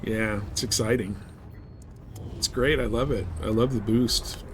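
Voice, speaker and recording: A man's voice: fairly low pitch, steady tone, a little slow, a little hiss or hum. A man giving casual live commentary on a game, chatting and thinking aloud. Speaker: game streamer.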